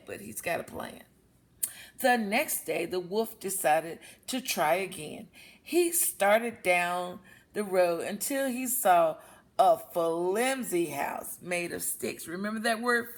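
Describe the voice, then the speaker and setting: A woman reading a children's story aloud expressively, as a storyteller for young listeners. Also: medium pitch. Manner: explains words with questions to young listeners.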